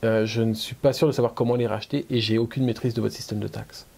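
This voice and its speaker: A man's voice: medium pitch, steady tone, fast talker, gravelly, a little mellow, husky. A middle-aged man from France with a French accent touched by Québécois, talking as an interview guest with many fillers and restarts. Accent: French, slightly Québécois-tinged. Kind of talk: conversational interview.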